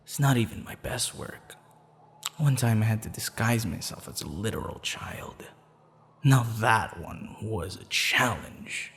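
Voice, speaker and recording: A smug, dangerous male assassin in love, acted by a man, murmuring intimately in an ASMR roleplay. He talks slowly with a creaky, gravelly edge, often whispering, in a long reverb. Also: mid-range voice. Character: lovestruck, menacing, smugly teasing male assassin.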